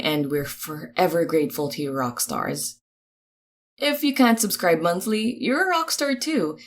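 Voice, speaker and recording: A woman reading podcast credits in a chatty, informal, upbeat announcing style, with expressive delivery. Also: fairly low pitch.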